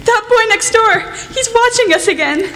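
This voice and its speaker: A woman's voice: extremely high pitch, animated, normal speed, fairly bright tone. A young woman playing a bold, headstrong teenage tomboy sister in a radio play, with emphatic, theatrical delivery. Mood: excited, bold. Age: teens or young adult. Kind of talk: student radio play acting. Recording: reverberant hall, clear background noise.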